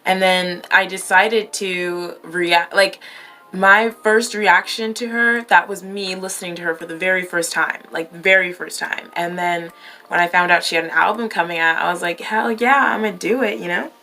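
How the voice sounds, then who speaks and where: A woman's voice: lowish voice, steady tone, moderate pace, bright, forceful tone. A young woman around twenty, born in New York and raised in California, chatting through a casual question-and-answer vlog, rambling and correcting herself with lots of fillers. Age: 20s. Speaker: YouTuber.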